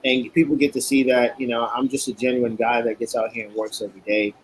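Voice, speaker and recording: A man's voice: mid-range voice, little pitch movement, fast, slight background noise, poor audio. A man from Queens, a guest in a casual chat, talking in a blunt, emphatic way with many restarts. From Queens, New York.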